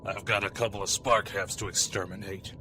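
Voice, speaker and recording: A man plays a vengeful robot warrior with a forceful, hard-edged, lowish voice. He is curt and scornful in radio drama style, over faint noise. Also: steady tone, fairly quick. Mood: irritated, threatening. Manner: curt, menacing, contemptuous. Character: grim, irritable robot manta-ray hunter bent on revenge. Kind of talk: fan radio drama acting.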